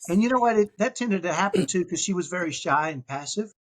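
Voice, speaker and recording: A man's voice: sits high, animated, fast, a bit bright. A man from Texas with a slight Texan accent, a podcast guest telling his story in casual chat with many restarts and fillers.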